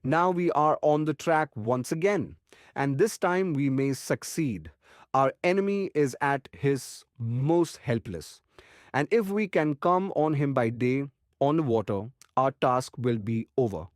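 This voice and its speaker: A man plays an older professor who leads vampire hunters in a Gothic audiobook. He is earnest and commanding, with formal, slightly odd phrasing and a middle-pitched voice, recorded close and dry. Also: animated, no room sound, natural voice. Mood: grave, rallying. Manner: deliberate, formal, oddly phrased speech. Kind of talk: dramatised audiobook acting.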